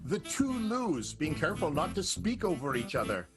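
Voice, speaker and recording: A man's voice: fairly high pitch, sing-song, normal speed. A man acting as director and narrator, setting scenes for an improvised comic radio soap. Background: loud instrumental music.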